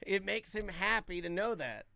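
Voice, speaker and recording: A man's voice: high-pitched, lively intonation, normal speed, creaky, pushed and bright, no echo, studio quality. A man hosting a casual comedic talk show, bantering emphatically and repeating his phrases.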